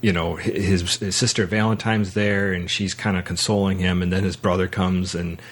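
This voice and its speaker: A man hosting a podcast, talking through a film in a casual, rambling, halting way. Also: low voice, little pitch movement. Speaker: podcast host.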